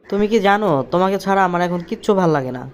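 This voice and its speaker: A man's voice: high-pitched, animated, very fast, slight background noise. A man playing an irritable, scornful two-timing husband and office boss in a cartoon drama, curt in manner. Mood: coaxing. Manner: scolding, curt.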